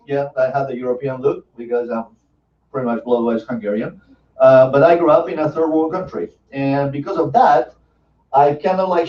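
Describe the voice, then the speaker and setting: Man, argentine spanish accent, native spanish speaker, chatty loose presenter, slightly raised pitch, distant dull mic in an echoey room. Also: a little mellow. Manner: chatty, loose, many fillers and restarts. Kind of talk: casual presentation to an audience.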